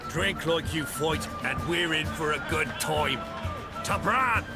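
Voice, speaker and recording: Blustering high-pitched island warrior lord. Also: male voice, slow pace, loud music behind the voice. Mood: hearty. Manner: blustering, hearty, folksy dialect. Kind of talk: video game character acting.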